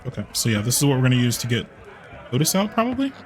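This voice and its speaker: A man chatting casually on a gameplay livestream in a halting way, often repeating his phrases. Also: mid-range voice, wildly swooping pitch, a faint crowd. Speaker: live streamer, VTuber. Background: game music.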